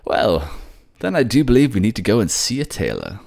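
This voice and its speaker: A man's voice: on the low side, huge pitch swings, brisk, creaky, darkish tone, pitched above the performer's own voice, exaggerated voice. A man playing a flamboyant, cheeky, affectionate nobleman in a fantasy audio drama, effusive and teasing. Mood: jovial. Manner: effusive, teasing, calls listener pet names.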